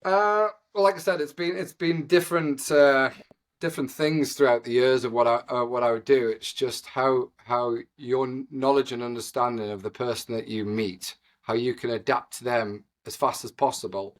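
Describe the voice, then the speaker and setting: A man in his forties with a Geordie accent, a podcast guest who rambles with lots of pauses and "you know". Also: mid-range voice, steady tone. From North East England. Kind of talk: casual podcast conversation.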